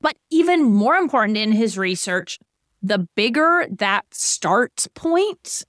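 A woman's voice: fairly high pitch, very animated, slow, a bit bright, no echo, studio quality. A woman in her late thirties co-hosting a podcast, explaining ideas and giving everyday examples in a casual way. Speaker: podcast co-host.

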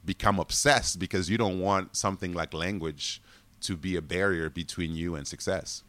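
A man talks in a deep, low voice. He tells his stories with animation and a ready laugh. The sound is close and dry, with no room around it. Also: moderate pace, dry recording. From Congo. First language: French. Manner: animated, laughs often while telling stories. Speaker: guest. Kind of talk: casual interview storytelling.

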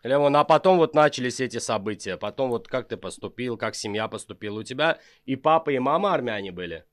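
A man's voice: medium pitch, animated, quick pace, no echo, clean studio sound. A man hosting an interview show, prompting his guest with short questions and affirmations. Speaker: interviewer and host.

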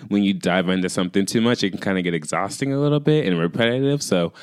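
Low, smooth-voiced man, a bit raspy. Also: fast, no echo, studio quality. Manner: chatty, filler-heavy, addresses listeners as "you guys". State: recovering from lost voice, raspy. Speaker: podcast host. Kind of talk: casual solo podcast chat.

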